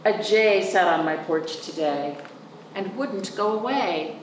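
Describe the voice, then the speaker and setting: A woman reading aloud to young children in an expressive, sing-song way, drawing out words and speaking simply and clearly for them. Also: mid-range voice, takes it slowly. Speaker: children's librarian, storytime reader. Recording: roomy echo, distant mic, faint noise.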